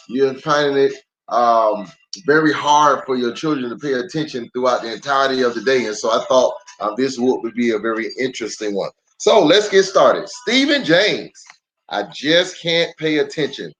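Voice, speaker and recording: An American man, a pastor, chatting casually and welcomingly to families as he hosts a children's story time livestream. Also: medium pitch. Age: adult parent of young children. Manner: welcoming, addresses viewers directly. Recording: dull lo-fi livestream audio with music.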